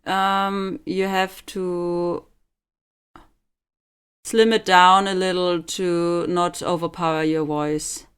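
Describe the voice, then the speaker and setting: Smooth-voiced woman, podcast co-host. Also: on the low side, flat delivery, slow, fairly bright tone. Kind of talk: scripted interview questions, then casual chat.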